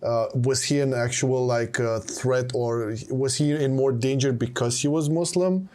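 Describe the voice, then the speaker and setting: A man from Romania hosting a casual podcast interview, asking curious, halting questions full of fillers. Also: medium pitch, fairly even intonation, darkish tone. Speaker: podcast host.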